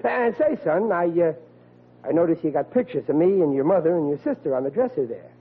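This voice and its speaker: A man's voice: on the high side, big pitch swings, brisk, slightly rough, heavily acted. An actor playing a blustering, dim-witted father of forty in an old radio comedy, talking in a folksy, ungrammatical, cocksure way. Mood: goading. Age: 40s. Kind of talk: old-time radio situation comedy acting. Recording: tinny, hissy old radio broadcast.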